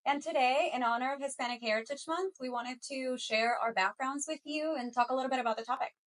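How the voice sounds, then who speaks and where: A woman's voice: sits high, fast talker. Woman with a faint Colombian accent. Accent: Colombian Spanish. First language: Spanish. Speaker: co-host of a recruiting show. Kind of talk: casual conversational chat.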